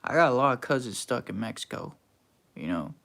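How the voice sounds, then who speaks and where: A man's voice: mid-range voice, big pitch swings, a little fast, creaky, a bit bright. A young man from Texas, hosting a casual livestream and answering chat in a laid-back, slangy, teasing way. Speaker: livestream host reading chat.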